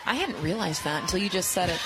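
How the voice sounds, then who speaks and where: An American woman working as color analyst, explaining softball play in an informal, conversational way. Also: lowish voice, animated, fast, a crowd in the background, background noise.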